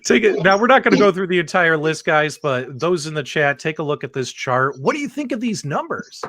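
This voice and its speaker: A man's voice: on the high side, big pitch swings, brisk, fairly bright tone, no echo. A man hosting a live-streamed panel, chatting casually, joking and quizzing the others.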